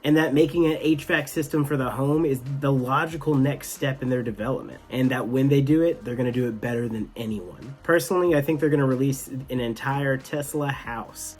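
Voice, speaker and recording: A man hosting a tech news show, giving enthusiastic, partly scripted commentary with some casual asides. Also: medium pitch.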